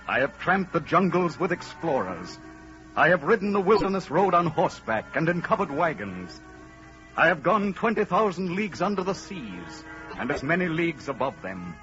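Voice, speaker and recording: An actor playing the spirit of romantic adventure, narrating a 1930s radio drama in a grand, declamatory manner over music on a muffled old recording. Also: male voice, a little high, big pitch swings, normal speed, a bit bright. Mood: grand, rousing.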